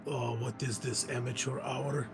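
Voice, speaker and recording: A man playing a cocky deep-sea welder in a tabletop game, dismissive and grudging. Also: little pitch movement, unhurried, music in the background, natural voice.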